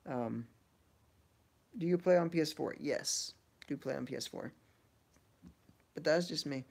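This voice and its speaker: A man in his early twenties chatting casually on a livestream, answering viewers in a laid-back, slangy way. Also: on the high side. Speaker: game streamer.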